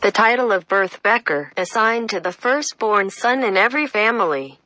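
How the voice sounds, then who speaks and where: A woman reading a reference text aloud, deliberate and flat, spelling out citations. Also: mid-range voice, dry recording, rough recording. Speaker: reader.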